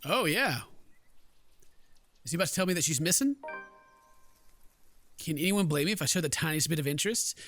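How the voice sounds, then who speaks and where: A man's voice: fairly high pitch, lively intonation, brisk, pauses often, fairly bright tone, soft background music. A man hosting a let's play, giving playful, exclamatory commentary and dramatic read-alouds, close to the mic. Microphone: close microphone.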